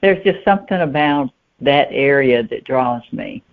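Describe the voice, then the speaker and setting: A woman giving plain-spoken, halting answers as a guest novelist in a conversational interview. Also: a bass voice, expressive. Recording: muffled, thin phone-line sound.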